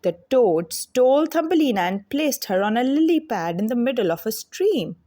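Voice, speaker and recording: A woman reading a children's fairy tale aloud as an expressive, deliberate storyteller. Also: on the high side.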